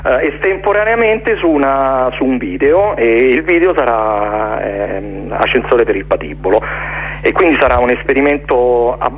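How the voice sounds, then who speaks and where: A man giving an informal radio interview as a guest, speaking haltingly, searching for words and repeating himself. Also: mid-range voice, expressive, muffled recording. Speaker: interview guest, jazz musician presenting his concert series.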